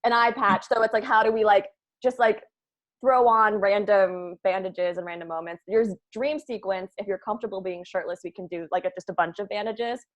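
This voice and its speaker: A woman with a mid-pitched voice chats on a video call as the writer running a read-through. She talks in a joking, slangy way while guiding the group. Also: fairly even intonation, fairly quick. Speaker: writer and producer leading a cast table read. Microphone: video call microphone.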